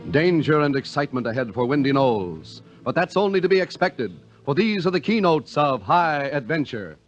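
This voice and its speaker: A man's voice: on the high side, sing-song, moderate pace, soft background music. A man with an American accent announcing in polished, scripted vintage radio style, like a salesman. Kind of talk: 1940s-50s radio announcing, scripted narration and ad reading. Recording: dull vintage radio recording.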